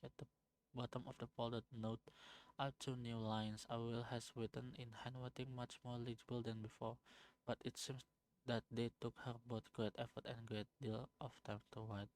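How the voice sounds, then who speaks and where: Man, game streamer, halting stumbling reading, flat monotone delivery. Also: medium pitch, poor audio. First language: Indonesian. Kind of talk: reading game text aloud on a live stream.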